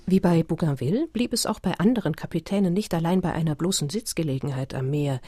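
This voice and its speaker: A woman narrating a radio play in a measured, essayistic, literary manner. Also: deep voice, warm tone, husky, no room sound. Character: measured documentary narrator of explorer history.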